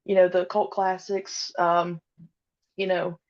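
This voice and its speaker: A woman in her thirties talking casually as an interview guest, with hesitant, halting phrasing. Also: on the low side, level tone, muffled recording, a little hiss or hum. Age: 30s. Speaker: podcast guest, audio drama creator.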